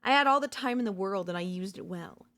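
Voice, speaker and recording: A woman playing an obsessive, domineering yandere in a dark ASMR roleplay, seductive and smug, slow and teasing, slipping into whispers. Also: medium pitch, expressive. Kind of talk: dark ASMR audio roleplay, intimate dramatic acting.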